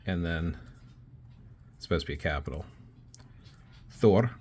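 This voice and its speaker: A man's voice: low voice, measured pace, a little hiss or hum. A man teaching a self-taught craft in a casual step-by-step tutorial, talking while he draws and thinking aloud. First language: not Icelandic. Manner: relaxed, talks while drawing, thinking aloud with fillers.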